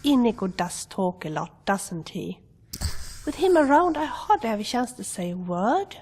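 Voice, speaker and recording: A woman voicing an affectionate, jealous adventurer companion in a fantasy game, with a creaky voice. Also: mid-range voice, very animated, normal speed, a little mellow.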